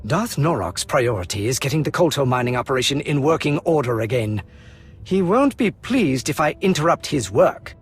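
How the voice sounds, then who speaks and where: A man's voice: medium pitch, sing-song, normal speed, slightly rough. A man playing a stern, officious military colonel in a video game cutscene, with formal, clipped delivery. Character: brisk, officious male Imperial colonel, dutiful and stern. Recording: music behind the voice.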